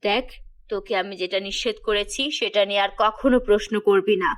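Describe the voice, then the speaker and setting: A woman's voice: medium pitch, moderate pace, voice pushed down, lightly acted. A woman voicing a strict, evasive mother in a children's cartoon, firm and curt with her child. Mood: stern, annoyed.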